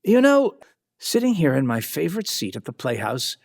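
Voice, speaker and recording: A man's voice: on the high side, huge pitch swings, normal speed, gravelly. A man hosting and narrating a radio play, theatrical and playfully speaking straight to the listener. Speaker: host and narrator. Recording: music behind the voice.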